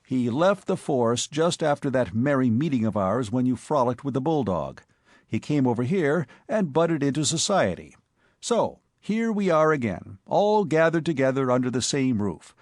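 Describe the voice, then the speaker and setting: A composed young gentleman rogue speaks with easy, dry irony, as a man reads him. His pitch lifts a little above the reader's own and moves in lively curves, recorded close and dry. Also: moderate pace, no echo. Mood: calm ironic. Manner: calm, dry, ironic. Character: cool, witty gentleman ex-burglar, young man.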